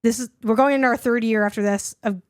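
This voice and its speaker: A young woman with a hoarse voice talks through her picks in a loose, rambling way, leaning on "like" again and again. Her voice sits a little high, and the recording is close and dry with no room sound. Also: fairly even intonation, quick pace, dry recording. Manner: rambling, heavy use of "like". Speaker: podcast co-host. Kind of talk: casual chat.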